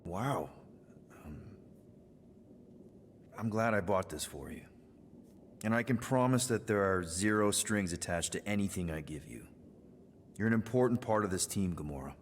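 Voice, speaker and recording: An actor playing an easygoing, awkwardly earnest young space crew leader in a cinematic video game, talking casually and haltingly. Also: male voice, lowish voice, expressive, long reverb tail, slight background noise, close to an everyday voice. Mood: sincere, awkward.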